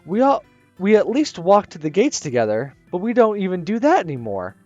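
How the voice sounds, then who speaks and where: A man voicing an excitable, dramatic teenage girl from a visual novel, whining and gushing. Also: sits high, fairly quick, no room sound, exaggerated voice. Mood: whiny gushing. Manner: loud, whiny, gushing. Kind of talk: reading a visual novel aloud. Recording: slightly muffled with soft music.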